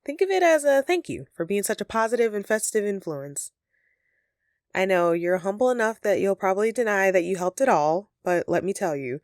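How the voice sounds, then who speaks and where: A friendly, easily flustered female elf from a festive audio roleplay, acted by a woman. Her voice sits on the low side for a woman and is recorded very dry. She talks straight to the listener in a sheepish, earnest, conversational way. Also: darkish tone, no room sound, close to an everyday voice. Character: flustered, friendly female Christmas elf, secretly living as roommate.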